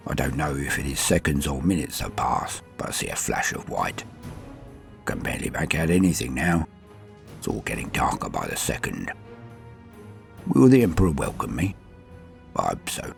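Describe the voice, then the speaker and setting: An extremely deep, heavily creaking voice of a battle-worn, dying sergeant as acted by a man. He is stoic and proud, halting and solemn, swinging between murmur and force in a sci-fi audio drama over music. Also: full character voice. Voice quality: very deep gravelly voice. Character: dying veteran space-marine sergeant, stoic, proud, dutiful, centuries old. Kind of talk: scripted first-person audio drama monologue.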